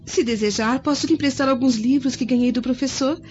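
An actress playing a sweet, eager young daughter of a Roman senator in a radio drama, polite and articulate. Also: female voice, sits high, fairly quick, darkish tone, natural voice. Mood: eager, affectionate. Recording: muffled audio under music.

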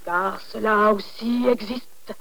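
A performer reciting as an intense, insistent philosophical orator in an emphatic, drawn-out, declamatory manner. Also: medium pitch, steady tone, fairly bright tone, poor audio. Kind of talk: dramatic recitation of a French poetic text.

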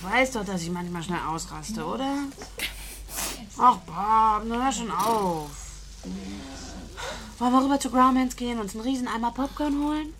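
A woman's voice: fairly high pitch, animated, normal speed, pauses often, fairly bright tone, slight background noise. An actress in a German radio drama playing a brash, short-tempered female killer who talks coarsely and bosses and taunts her partner. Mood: coaxing. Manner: coarse slang, taunting, domineering.

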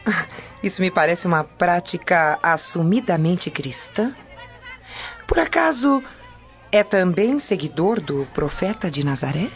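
A woman's voice: lowish voice, expressive, a little slow, over music. An actress playing an inquisitive servant woman of ancient Rome with a theatrical, insinuating manner. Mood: probing, friendly. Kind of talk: radio drama acting. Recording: dull audio with crowd behind.

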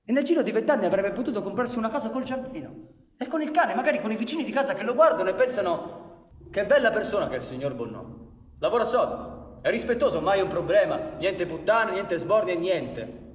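An actor performing emphatic, brooding narration of a bitter anarchist's story for radio theatre. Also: male voice, high voice, animated, a little fast, a little hiss or hum. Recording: reverberant hall, live music behind. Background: live music.

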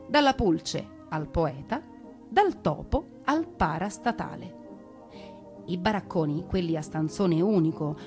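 A woman delivering a theatrical narrated monologue, expressive, with deliberate pauses and emphasis. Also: medium pitch. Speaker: stage storyteller.

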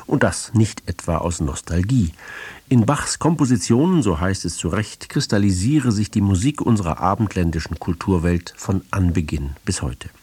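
A male radio presenter with a very low, husky voice reads a formal introduction to an audio play. His measured, literary delivery moves through a lively range of pitch, in a dry studio sound. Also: no echo. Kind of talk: scripted broadcast introduction.

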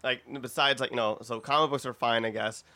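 A man's voice: medium pitch, steady tone, brisk, sharp tone. A man chatting casually and eagerly as a panelist on a podcast. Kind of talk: casual excited group chat.

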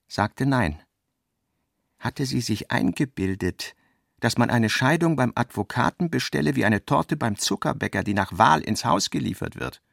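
A man narrating a literary radio drama, delivering long scripted sentences in a precise, measured way. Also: fairly low pitch, gravelly, a bit bright, no echo, clean studio sound. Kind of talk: literary scripted narration, voicing reported dialogue.

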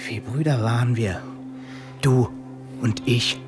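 An actor playing a suspicious, bitter young Nazi intelligence officer in a radio drama, interrogating with biting sarcasm. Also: male voice, medium pitch, fairly even intonation, slow pace, lightly acted. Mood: bitter, accusing. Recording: studio radio play with faint music.

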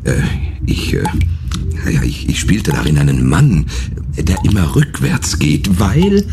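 An actor playing a naive, eager failed actor in a radio play, hesitant and stammering, full of ums. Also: male voice, very deep voice, wildly swooping pitch, a little slow, gravelly, background noise, exaggerated voice. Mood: earnestly proud. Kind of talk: radio play character acting. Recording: music behind the voice.

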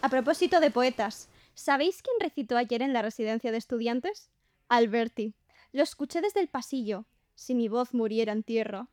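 A woman's voice: on the high side, lively intonation, moderate pace, darkish tone, no room sound, natural voice. A woman playing a bold, defiant and affectionate painter in an audio drama, with an emphatic delivery. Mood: nostalgic. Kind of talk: scripted audio drama dialogue.

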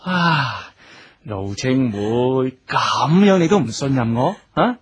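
A theatrical, swooping suave suitor. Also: male voice, medium pitch, expressive, slow, breathy edge. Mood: mock hurt. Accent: Hong Kong. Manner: theatrical, wheedling, sighing. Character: suave, teasing male suitor, melodramatic and glib. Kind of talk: Cantonese radio drama acting.

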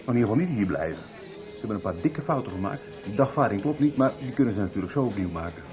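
A hard-nosed criminal man in a radio drama. His low voice is blunt and scornful, brushing people off with dry sarcasm. Music plays clearly behind him. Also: sing-song, quick pace. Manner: blunt, dismissive, sardonic. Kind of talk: 1990s Dutch radio soap acting.

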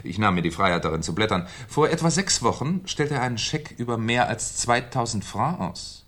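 An actor playing a shrewd, insistent police commissioner in a 1970s German radio crime play, formally polite, probing and sardonic. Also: male voice, medium pitch, lively intonation, brisk, a bit bright. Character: shrewd, insistent male French police commissioner interrogating suspects.

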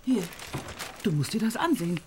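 A woman's voice: medium pitch, big pitch swings, moderate pace, noisy background, natural voice. An actress playing a sardonic, critical woman in naturalistic radio drama dialogue.

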